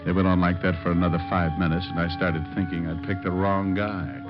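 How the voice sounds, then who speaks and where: Man acting a low-voiced hardboiled radio detective. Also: little pitch movement, a little fast, lightly acted. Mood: wry, tense. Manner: wry, clipped, slangy. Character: cool, hardboiled male private detective narrating his case. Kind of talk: old-time radio noir narration and acting. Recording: muffled vintage radio recording, organ music behind.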